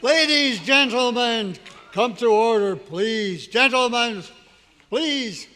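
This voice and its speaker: Pompous male mayor played by a man, radio drama oratory, forceful and high, echoing live hall. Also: expressive, takes it slowly, slight background noise, heavily acted. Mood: pompous, commanding. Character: pompous city mayor calling citizens to order. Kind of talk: old-time radio drama acting. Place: hotel convention meeting room, live performance. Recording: long reverb in live hall.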